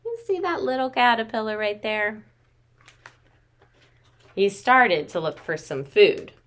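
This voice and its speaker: An American woman in her thirties, a preschool teacher reading and explaining to young children in an expressive way with questions and drawn-out words. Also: medium pitch. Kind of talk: children's story read-aloud and craft instruction. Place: small home room with sloped ceiling.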